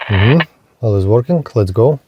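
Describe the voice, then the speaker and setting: Slow-talking man narrating a radio test. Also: on the low side, animated, highs cut off, noisy background. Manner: halting short phrases, repetitive. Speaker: radio hobbyist presenting a range test. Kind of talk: simple step-by-step vlog narration.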